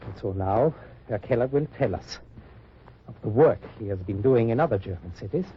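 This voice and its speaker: A man's voice: medium pitch, animated, moderate pace, a little hiss or hum, lightly acted. A man playing a pompous German double agent posing as a resistance leader, making grandiose speeches in an old radio drama. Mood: falsely stirring. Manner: grandiose, declamatory. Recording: muffled old radio recording.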